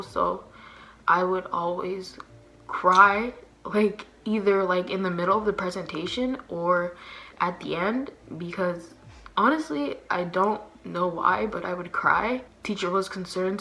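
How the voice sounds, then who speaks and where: A woman's voice: lowish voice, bright, forceful tone, rough recording. A young woman talking casually and candidly to camera as a vlogger, in a slangy way with lots of fillers. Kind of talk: candid confessional chat to camera.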